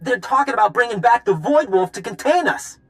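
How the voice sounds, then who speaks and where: A man's voice: very high voice, lively intonation, fairly quick, fairly bright tone. An actor playing an eager, upbeat and cocky male resistance fighter in a video game. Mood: excited briefing.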